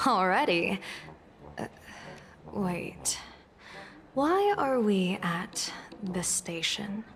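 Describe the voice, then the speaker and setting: An actress voicing a composed, wry female general in a video game, with a measured, dry delivery. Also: mid-range voice, lively intonation, a bit bright, full character voice. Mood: exasperated. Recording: long reverb, music underneath.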